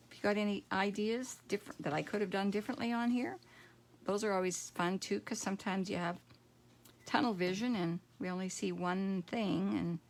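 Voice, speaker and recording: A middle-aged woman with a croaky voice, demonstrating card making at home and thinking aloud in a relaxed, rambling way. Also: mid-range voice. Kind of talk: casual craft tutorial.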